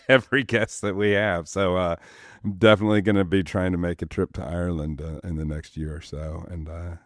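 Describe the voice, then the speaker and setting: Man, 50s, extremely deep voice, easygoing host, dry close sound. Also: steady tone, fairly quick, few pauses, no echo. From Texas, United States. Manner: easygoing, self-deprecating. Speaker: podcast host. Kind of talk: casual interview chat.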